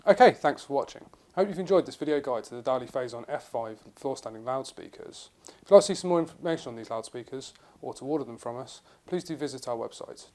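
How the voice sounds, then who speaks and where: A man's voice: mid-range voice, a little mellow. A man presenting a retailer's product video guide, informative and enthusiastic, with occasional fillers and restarts. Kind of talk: product review narration.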